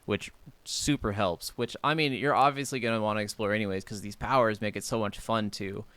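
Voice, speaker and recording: A man's voice: on the low side, fairly even intonation, brisk, a bit bright, clear, resonant tone, dry recording. A man talking casually as a co-host of a video game podcast. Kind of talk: casual chat.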